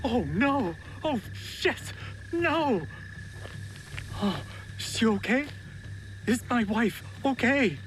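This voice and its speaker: A man's voice: very high voice, big pitch swings, slow pace, long pauses, a little hiss or hum, exaggerated voice, poor audio. A man playing a cowardly, self-pitying gambler husband on the run, jittery and pleading, in a video game cutscene. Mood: panicked, pleading.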